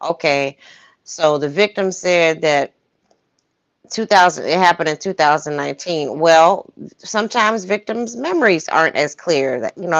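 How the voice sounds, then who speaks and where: Woman, fairly low voice, dull livestream audio, dry sound, conversational commentary, opinionated. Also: no room sound. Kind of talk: casual commentary chat.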